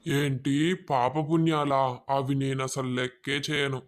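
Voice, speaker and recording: A man's voice: mid-range voice, level tone, slow, close to an everyday voice. A man playing a ruthless, demanding forest robber in a moral-story cartoon. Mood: defiant. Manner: menacing, demanding. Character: ruthless male forest robber, gruff family man at home.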